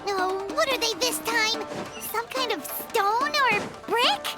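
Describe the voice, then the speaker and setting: A woman voicing a tiny, excitable childlike fairy companion in a video game. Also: takes it slowly, full character voice. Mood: exasperated. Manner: squeaky, exclaiming. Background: game music.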